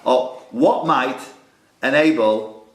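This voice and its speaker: A man's voice: medium pitch, slow pace. A man hosting a quiz in a club room, reading out questions and bantering in a jokey, teasing way. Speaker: quiz host. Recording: echoey room recording with background noise.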